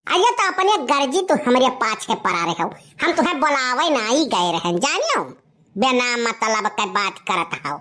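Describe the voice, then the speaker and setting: Lady doing a bossy cartoon village girl, awadhi accent, high voice, scolds and repeats herself, totally brazen. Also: very animated, normal speed, a bit bright. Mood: irritated, threatening. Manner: scolding, brazen, repetitive catchphrases. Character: sassy, impatient village young woman juggling several suitors. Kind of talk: animated comedy dialogue.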